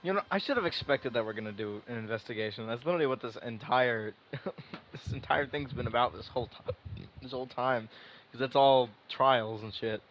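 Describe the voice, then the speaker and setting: A man's voice: medium pitch, fairly quick, fairly bright tone, a little hiss or hum, poor audio. A young man giving casual, informal, reactive commentary as he plays through a video game. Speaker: game streamer. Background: faint game music.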